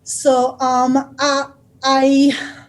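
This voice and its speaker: A woman from Haiti, telling her own story as a guest in a thoughtful way, often pausing with fillers and restarting. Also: sits high, completely flat delivery. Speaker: author and guest speaker. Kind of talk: personal storytelling.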